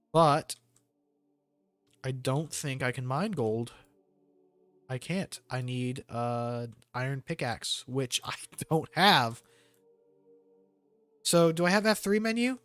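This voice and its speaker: A man's voice: mid-range voice, fairly bright tone, no room sound. A man giving casual, rambling gameplay commentary with jokey slang. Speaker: gamer hosting a let's play.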